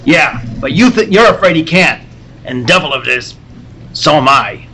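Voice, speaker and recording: A man playing a gruff, blustering newspaper boss who barks orders and stammers when rattled, in an old-time radio serial style. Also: fairly high pitch, animated, moderate pace, bright, forceful tone, a little hiss or hum, rough recording. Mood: rattled, anxious. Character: gruff, excitable newspaper editor, an agitated boss.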